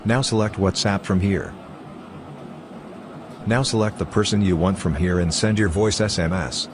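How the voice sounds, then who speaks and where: A man's voice: low-pitched, moderate pace, noisy background. A man narrating a step-by-step tutorial as presenter, in an instructional way with non-native English phrasing.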